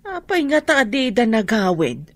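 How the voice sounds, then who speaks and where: A woman's voice: fairly high pitch, big pitch swings, a little slow, dry recording, exaggerated voice. An actress playing a middle-aged rural mother who defends her children's schooling, speaking earnestly. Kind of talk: radio drama acting.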